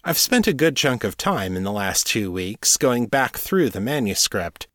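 Dry-recorded man with lively, swooping intonation. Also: fairly low pitch, no echo. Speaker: podcast host and fiction author. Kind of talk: scripted solo podcast update.

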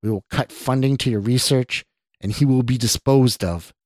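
A stern official in a suit as a man reads him in a story. He is skeptical and cold, speaking with measured command. The recording is dry. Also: medium pitch, a little mellow, no room sound, close to an everyday voice. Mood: stern warning.

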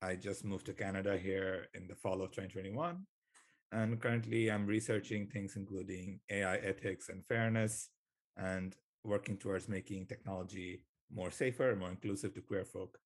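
A man's voice: low-pitched, fairly even intonation, normal speed. A young man from Bangladesh, a graduate student, talking in a thoughtful, hesitant way on a podcast. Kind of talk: conversational podcast talk.

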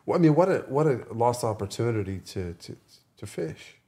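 A man's voice: medium pitch, expressive, moderate pace, mellow. A man talking earnestly in a casual podcast discussion, often repeating phrases for emphasis. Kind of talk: casual conversation.

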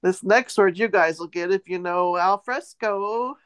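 A woman hosts a casual word quiz among podcast co-hosts. She talks at an unhurried pace in a mid-range voice, recorded dry with very little room sound. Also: mid-range voice, a bit bright, clear, resonant tone, no echo. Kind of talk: casual chat, quizzing co-hosts.

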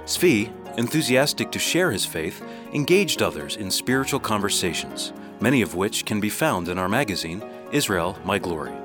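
A man's voice: fairly low pitch, big pitch swings, moderate pace, few pauses, over music. A man reading a scripted radio narration in a storytelling style.

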